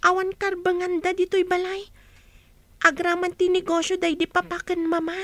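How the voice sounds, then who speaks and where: A woman's voice: very high-pitched, completely flat delivery, moderate pace, a bit bright, dry recording. A woman playing a meek, mistreated young niece in an Ilocano radio drama, speaking in a submissive, plaintive way. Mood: plaintive resolve.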